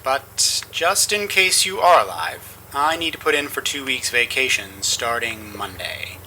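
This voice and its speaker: An actor playing an officious briefing announcer in a spy comedy audio drama, formal and mock-solemn. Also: male voice, mid-range voice, lively intonation, a little slow, pushed and bright, slight background noise, low-quality recording. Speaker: series announcer and mission briefing voice. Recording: music behind the voice.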